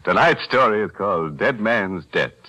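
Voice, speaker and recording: A man's voice: lowish voice, expressive, moderate pace, low-quality recording. A man hosting a 1940s radio horror show, cracking grim puns with sly chuckles, on an old broadcast recording with music. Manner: macabre punning with sly chuckles. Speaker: horror anthology host.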